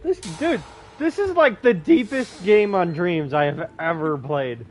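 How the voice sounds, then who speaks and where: High-pitched, animated male gamer. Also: unhurried. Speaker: gaming video player. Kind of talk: casual gameplay commentary.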